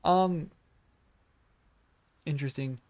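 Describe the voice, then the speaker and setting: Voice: mid-range voice, slow, a little mellow, no echo. A game streamer giving casual playthrough commentary, musing and a little hesitant while thinking aloud. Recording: game music behind the voice.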